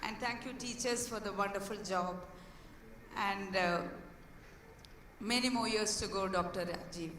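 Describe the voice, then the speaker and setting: Hoarse woman, school head, earnest event speech, bright voice, echoey hall, distant mic. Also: medium pitch, measured pace, slight background noise. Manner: earnest, with fillers and restarts. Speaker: guest speaker, school head. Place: large hall.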